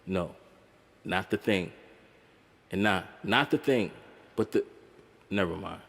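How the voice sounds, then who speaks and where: A man's voice: sits low, slow pace, vocal fry, a bit bright, in a large hall. A man narrating a film breakdown video essay in a wry, conversational way with blunt, profane asides.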